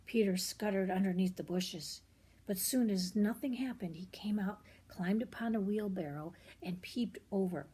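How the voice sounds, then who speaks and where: An older woman reading a children's picture book aloud, expressive and often hushed, pausing for asides about the pictures. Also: medium pitch, breathy edge.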